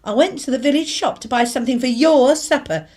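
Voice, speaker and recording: A woman's voice: high-pitched, fairly quick, a little mellow. An actress playing a tired, indignant British wife and mother in a radio drama. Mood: weary, irritated. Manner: indignant, emphatic. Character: tired, exasperated British wife and mother, stands her ground.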